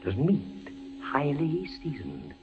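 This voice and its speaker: An actor playing a fevered, terrified prisoner of the Inquisition in an old-time radio horror drama, halting, breathless and haunted. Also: male voice, a little high, huge pitch swings, exaggerated voice. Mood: haunted narration. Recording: muffled vintage radio recording with music.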